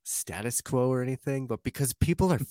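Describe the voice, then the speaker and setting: A man's voice: mid-range voice, lively intonation, fairly quick, mellow, dry recording. Man, podcast host, casual rambling chat, dry sound. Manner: rambling, with restarts and swearing.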